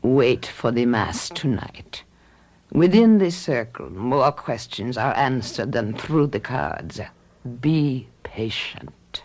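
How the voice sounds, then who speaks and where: A woman playing a solemn, commanding fortune-telling witch who speaks in a measured, ominous way in a radio drama. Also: rumbling low, very animated, darkish tone, full character voice. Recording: radio drama with music behind.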